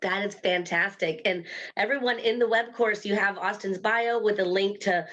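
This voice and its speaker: A woman's voice: mid-range voice, moderate pace, a bit bright, dull audio. Upbeat woman moderating a video call. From Hawaii. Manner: upbeat, enthusiastic, with fillers. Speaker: course host.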